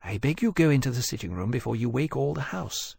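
A man voicing a composed young mother who speaks quietly and in a measured way. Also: medium pitch, animated, vocal fry, breathy edge, no echo. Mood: pleading. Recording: dry close mic.

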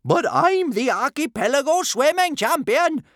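Big boastful female chieftain, high and loud, spluttering with indignation. Storybook. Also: animated, measured pace, dry recording, clean studio sound. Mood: indignant shouting. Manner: spluttering, blustering.

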